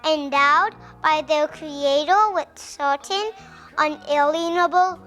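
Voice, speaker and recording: A child with a high voice reads a formal text aloud. Music plays faintly behind. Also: slow pace, sharp tone.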